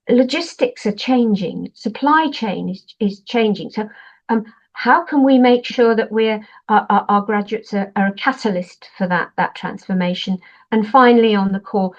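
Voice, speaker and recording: An older woman, a professor presenting at a webinar in an explanatory way, stressing points through contrast. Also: on the high side. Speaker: university professor, webinar speaker. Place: online webinar. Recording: dull, lo-fi webinar audio.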